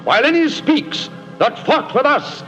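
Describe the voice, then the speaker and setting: A man's voice: extremely high pitch, very animated, a little slow, fairly bright tone, heavily acted. Soaring English warrior king. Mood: stirring, exalted. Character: stirring English warrior king rallying his outnumbered men. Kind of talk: theatrical Shakespearean declamation. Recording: dull old radio broadcast with music.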